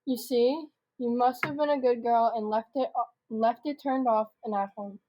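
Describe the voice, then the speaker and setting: A woman's voice: on the high side, fairly even intonation, normal speed, highs cut off, a little hiss or hum, close to an everyday voice. A young girl of about twelve playing a patient, instructive schoolteacher in a comedic radio drama. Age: child, about 12 to 13. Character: female schoolteacher, explaining patiently to her class.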